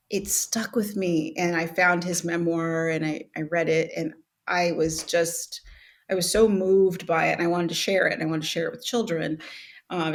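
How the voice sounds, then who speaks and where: A middle-aged woman speaking as an interview guest, reflective and laughing often, with many restarts. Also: lowish voice. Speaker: guest, actress and author. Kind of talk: conversational interview.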